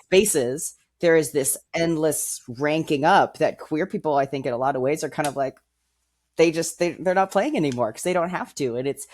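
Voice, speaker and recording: A man's voice: on the high side, moderate pace. A man co-hosting a podcast who talks reflectively, thinking aloud with fillers and working through an extended analogy. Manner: thoughtful, uses fillers, builds ideas through analogy. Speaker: podcast co-host. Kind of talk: reflective casual conversation.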